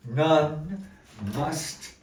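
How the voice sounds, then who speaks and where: Male storyteller for a puppet show, slow and expressive, picked up from a distance in a reverberant gallery. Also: a little high. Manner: slow, theatrical storyteller with lively rises and falls. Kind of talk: storytelling narration to a live audience. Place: gallery space. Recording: echoey gallery room, voice from afar, faint noise.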